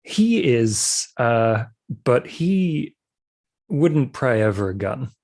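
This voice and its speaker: A man playing a tabletop roleplaying game, describing his character in a halting, deliberate way. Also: mid-range voice, big pitch swings, darkish tone. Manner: halting, deliberate, many hesitations.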